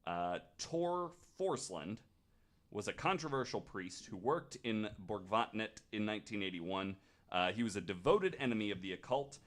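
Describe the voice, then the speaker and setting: Low-voiced man telling ghost stories. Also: fairly bright tone. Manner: joking, casual swearing. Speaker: podcast host. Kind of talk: casual retelling of ghost stories from notes.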